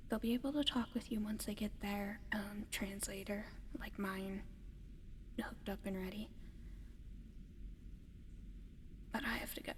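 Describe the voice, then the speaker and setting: Woman as a whispering, gentle alien in long reverb. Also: mid-range voice, little pitch movement, close to an everyday voice. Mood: reluctant, reassuring. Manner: soft, hesitant, breathy whispering with halting pauses. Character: gentle, eager giant female alien adopting a human pet. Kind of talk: whispered ASMR roleplay to the listener. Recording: long reverb, audible background noise.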